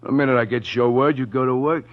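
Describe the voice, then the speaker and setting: A man acts out a cocky mob errand boy from a vintage radio thriller. He taunts and wisecracks in slangy city talk at a middling pitch, on a dull old recording. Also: fairly even intonation, fast, close to an everyday voice. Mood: smug. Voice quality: slangy city tough-guy diction. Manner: mocking, cocksure, wisecracking. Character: smug, taunting male gangster henchman. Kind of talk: 1940s radio crime drama acting.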